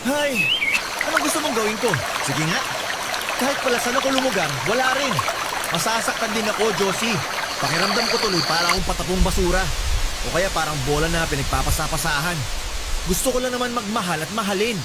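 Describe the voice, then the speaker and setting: A man's voice: high-pitched, lively intonation, fairly quick. A man playing a bitter, heartbroken young man who answers defensively and with self-pity in an audio drama. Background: music.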